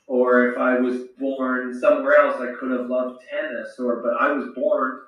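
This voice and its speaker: Man, bright voice, echoey room. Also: medium pitch, level tone. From Saskatchewan, Canada. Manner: reflective, speaks slowly with frequent restarts. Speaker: retired hockey player answering kids' questions. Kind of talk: thoughtful Q&A with children. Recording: roomy, echoey sound from far off.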